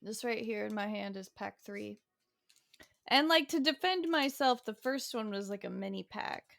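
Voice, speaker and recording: A woman's voice: mid-range voice, animated, normal speed, creaky, fairly bright tone, no echo, studio quality. A woman co-hosting a podcast, chatting casually in a playful, informal way. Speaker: podcast co-host. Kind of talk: casual banter.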